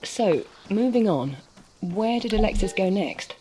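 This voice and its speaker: A woman's voice: medium pitch, animated, a little slow, warm tone, slight background noise, natural voice, rough recording. A woman voicing an inquisitive journalist who asks polite but pointed questions in a game cutscene. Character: inquisitive female journalist, persistent and precise. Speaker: journalist interviewer. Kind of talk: voiced game cutscene dialogue.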